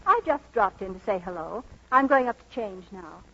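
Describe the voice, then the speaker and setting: An actress playing an unruffled, gracious doctor wife in an old radio comedy, polite and gently dry. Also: female voice, medium pitch, expressive, normal speed, breathy edge, heavily acted. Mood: gracious, breezy. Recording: muffled old radio broadcast recording.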